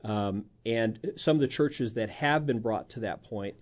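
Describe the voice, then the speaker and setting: A man speaking as a guest minister in a measured way, with hesitant fillers. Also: lowish voice, lo-fi sound. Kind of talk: conversational discussion.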